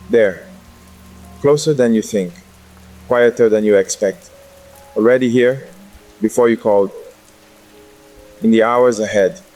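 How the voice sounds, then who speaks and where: Low male voice doing slow gentle guided meditation, music and rain behind him. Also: rough recording. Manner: slow, gentle, unhurried delivery with deliberate pauses. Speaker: sleep meditation narrator.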